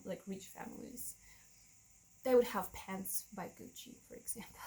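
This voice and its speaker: A woman in her thirties with a Russian accent, whose first language is Russian, telling a personal story to camera in a candid, halting way, with frequent restarts and soft nervous laughs. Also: medium pitch, low-quality recording. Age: 30s. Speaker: vlogger.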